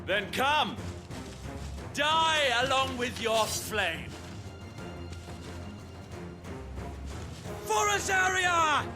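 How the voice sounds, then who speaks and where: Haughty villainous commander: high, slow, scornful delivery, declamatory, for a fantasy game. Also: male voice, sing-song, over music, exaggerated voice. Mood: defiant, rallying. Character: haughty, contemptuous enemy military commander, zealous. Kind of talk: video game cutscene acting.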